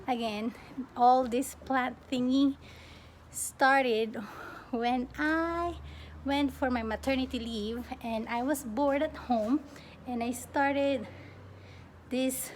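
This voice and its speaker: A young woman from the Philippines chatting casually as a plant vlogger, expressive and often breaking off mid-sentence. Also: fairly high pitch, slow pace. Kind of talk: casual get-to-know-me question-and-answer chat. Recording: clearly noisy home recording.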